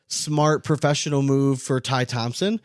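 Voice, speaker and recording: A man's voice: medium pitch, fairly even intonation, unhurried, dry recording, clean studio sound. A man co-hosting a podcast in casual sports chat, rambling and repeating phrases for emphasis. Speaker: podcast co-host.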